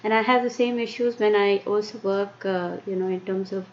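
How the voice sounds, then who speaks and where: Indian-accented woman, halting with fillers. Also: medium pitch, fairly even intonation. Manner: halting, many fillers and restarts. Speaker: tech presenter. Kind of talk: live-streamed tech talk. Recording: muffled lo-fi stream with faint noise.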